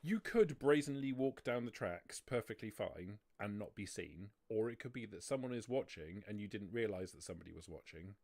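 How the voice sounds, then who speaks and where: A man's voice: lowish voice, lively intonation, moderate pace, hardly any pauses, a little mellow. Man running a tabletop game. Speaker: game master. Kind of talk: explaining game rules and story at a tabletop game.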